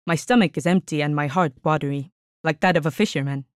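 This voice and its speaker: A man's voice: a little high, fast, dry recording, studio quality, pitched above the performer's own voice, natural voice. A man voicing a small male creature who lives under the stove and begs for food. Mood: pleading. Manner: wheedling, begging.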